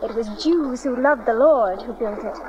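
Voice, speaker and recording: A woman's voice: a little high, normal speed, background noise, natural voice. A woman acting a tender, devout young mother in a dramatized audio Bible. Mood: serene, fond. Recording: dull lo-fi cassette transfer.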